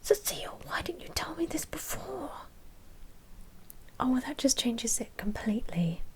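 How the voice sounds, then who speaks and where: A woman playing a chatty, politely officious vampire bank manager in a whispered, breathy ASMR roleplay. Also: high voice, very animated, normal speed, darkish tone. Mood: gossipy, chatty.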